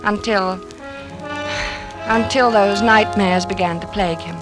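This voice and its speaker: An actress playing a patient, worried wife, gently questioning and coaxing in old-time radio drama style. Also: female voice, medium pitch, fairly even intonation, unhurried, music in the background, close to an everyday voice. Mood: reflective narration.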